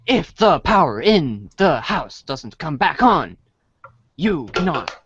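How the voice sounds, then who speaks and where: Slow, animated male storybook narrator. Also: fairly high pitch, breathy edge, no room sound. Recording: dry, dull lo-fi audio.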